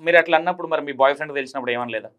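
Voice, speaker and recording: A man's voice: on the high side, racing, bright, forceful tone. A man hosting a casual phone interview, asking probing questions in a teasing, prodding manner. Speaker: interview host.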